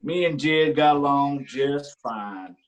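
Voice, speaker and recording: An actor reading the part of a prying county sheriff in a staged play reading, drawling and falsely friendly. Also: male voice, fairly high pitch, takes it slowly. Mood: smug. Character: rural county sheriff, middle-aged man, prying and smug.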